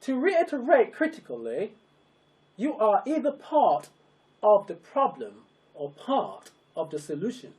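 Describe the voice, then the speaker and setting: Slow, solemn orator with a swooping delivery. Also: medium pitch, huge pitch swings, a little mellow. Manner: solemn, emphatic oratory in short broken phrases. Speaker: narrator of a political address. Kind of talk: scripted, declamatory public address.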